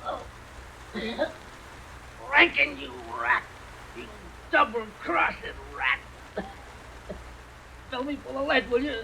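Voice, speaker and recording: A wounded hoodlum in a radio crime play, vengeful and gasping. His voice is high, gravelly and strained, with bitter menace in every phrase. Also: big pitch swings, slow, long pauses, pushed and bright, slight background noise, heavily acted, poor audio. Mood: enraged, pained. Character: bitter, vengeful, badly wounded male gangster gunman. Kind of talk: old-time radio drama acting.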